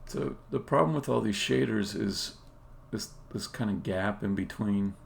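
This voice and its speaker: A man, a game developer, casually explaining his work on a live stream in a halting, think-aloud way. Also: lowish voice. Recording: clear background noise.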